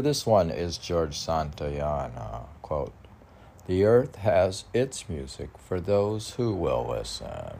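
A man rambling through a podcast of chat and poetry reading, halting and often talking to himself in questions and answers. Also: extremely low pitch, vocal fry, warm tone, a little hiss or hum. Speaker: poet reading and chatting on own podcast.

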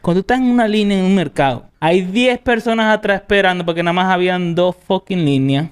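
Laid-back, cursing Caribbean man, smooth voice. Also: high-pitched, quick pace. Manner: loose, profane storytelling with frequent laughs. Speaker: guest, music producer. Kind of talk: casual podcast chat.

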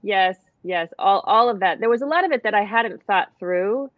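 A woman's voice: mid-range voice, expressive, moderate pace, no echo, highs cut off. An American woman, a writer, talking reflectively as a guest in a casual conversation, with many fillers and restarts. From United States.

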